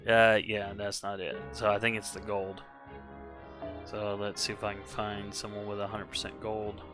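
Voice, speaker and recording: A man giving casual, rambling live-stream commentary while playing a game, slightly hoarse and often correcting himself. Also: on the low side, completely flat delivery, moderate pace, music in the background.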